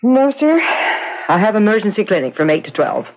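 An actor playing a tired, dutiful junior doctor in a 1970s radio drama. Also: male voice, sits high, big pitch swings, normal speed. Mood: weary. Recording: dull, faintly noisy vintage radio recording.

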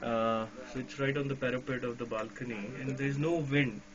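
A man's voice: medium pitch, fairly even intonation, normal speed, muffled recording, a crowd in the background. A man with an Indian accent, chatting casually as a ham radio operator, laughing often, outdoors at night in the rain.

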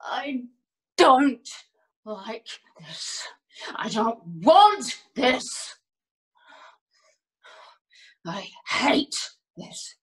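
A woman acts out a bewildered, distraught woman in a dramatic stage monologue. Her voice sits high, and she speaks extremely slowly, in halting fragments with long gaps and repeated phrases. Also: animated, a bit bright, exaggerated voice. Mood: anguished, bitter. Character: distraught, bewildered woman whose life has suddenly fallen apart. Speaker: monologue performer.

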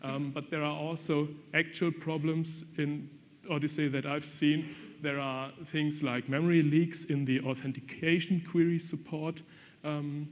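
A man's voice: on the high side, little pitch movement, measured pace. A man giving a technical conference presentation, talking frankly with frequent ums and restarts. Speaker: conference speaker. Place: conference hall. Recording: long reverb from lecture hall.

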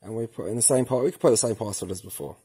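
A man's voice: lowish voice, racing, warm tone, breathy edge. A man narrating a casual step-by-step tutorial, thinking aloud informally as he works with his hands. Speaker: product tutorial presenter. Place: indoors at a work table, filming hands and device.

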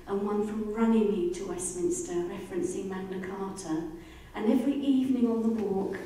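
A middle-aged woman giving a measured, earnest prepared talk as a charity speaker. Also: mid-range voice, warm tone. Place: indoor library event space with audience. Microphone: handheld microphone. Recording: very echoey room, distant, faint noise.

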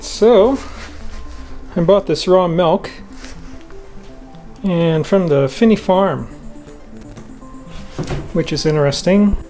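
A man's voice: high-pitched, darkish tone. A man in his forties vlogging casually into a phone held at arm's length, musing aloud and trailing off with fillers. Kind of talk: casual travel vlog. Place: outdoors in snowy town, then in a truck, then indoors at a table. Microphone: handheld phone at arm's length.

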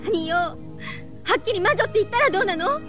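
A woman's voice: extremely high pitch, lively intonation, fast talker. A woman playing an anguished, defensive teenage girl, emotional and defiant, in an audio drama. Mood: bitter. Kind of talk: anime-style audio drama acting. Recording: music under the voice.

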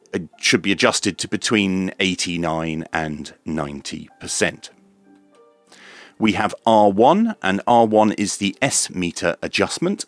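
A man with a low voice gives a methodical technical walkthrough. He speaks slowly and matter-of-factly, step by step, with faint music behind him. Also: a bit bright, no room sound. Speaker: presenter of a radio tutorial.

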